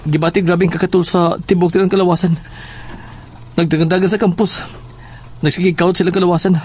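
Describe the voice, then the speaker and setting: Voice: medium pitch, little pitch movement, brisk, a little mellow, a little hiss or hum, close to an everyday voice. A performer playing a nervous schoolboy son who stammers and questions hesitantly in an audio horror drama. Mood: nervous, anxious.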